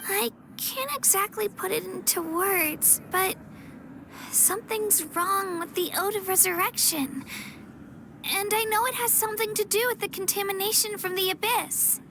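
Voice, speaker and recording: A woman's voice: extremely high pitch, unhurried. A woman voicing an earnest young girl warrior in a video game, timid yet determined. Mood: earnest, worried. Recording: clear background noise.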